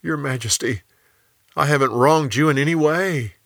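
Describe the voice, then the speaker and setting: Man playing a faithful, respectful exile in a Bible tale, earnest and bright-voiced. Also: fairly high pitch, moderate pace, vocal fry, no room sound, clean studio sound, voice pushed up. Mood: earnest relief. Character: faithful, innocent male exile, devout and respectful. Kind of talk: dramatized Bible storytelling.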